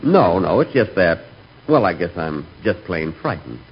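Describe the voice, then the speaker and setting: A man's voice: low-pitched, sing-song, unhurried, full character voice. A man acting a timid, neurotic and comically vain storyteller in 1940s radio comedy. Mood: nervous. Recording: muffled, hissy 1940s radio recording.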